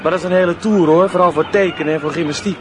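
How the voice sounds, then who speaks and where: A man's voice: on the high side, fairly even intonation, rapid-fire, noisy background, lightly acted. A man playing a coarse, cocky teenage village schoolboy in a radio drama, blunt and offhand in his talk. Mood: sullen, boastful.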